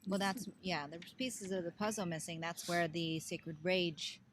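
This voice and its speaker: A woman co-hosting a casual book club podcast, relaxed and affirming, speaking bluntly and repeating her points. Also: lowish voice, unhurried, slight background noise.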